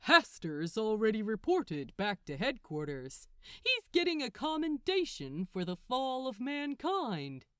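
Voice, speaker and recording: A woman's voice: fairly high pitch, wildly swooping pitch, a little slow, smooth, no echo, studio quality. A woman voicing a smug, condescending male archangel in a story, with a taunting, emphatic manner. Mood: smug reassurance.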